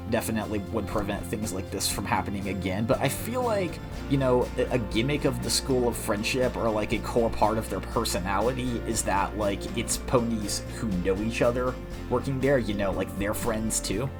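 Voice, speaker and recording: A man's voice: on the low side, expressive, normal speed, music in the background. A man hosting a casual fan fiction reading and reaction, talking in an informal, rambling, conversational way.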